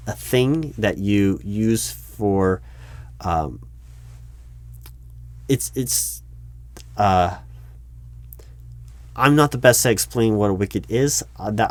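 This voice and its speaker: A man's voice: fairly low pitch, darkish tone, natural voice. A man playing a flustered, officious recruiting manager in a one-sided job interview roleplay, stammering and repeating himself. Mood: flustered, evasive. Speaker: roleplay performer.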